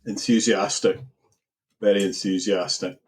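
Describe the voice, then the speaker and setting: Husky-voiced Edinburgh man, rambling. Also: on the low side, flat delivery, unhurried. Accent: Edinburgh Scottish. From Scotland. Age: middle-aged. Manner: rambling, repeats words while searching for them. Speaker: guest angler. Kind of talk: relaxed podcast interview.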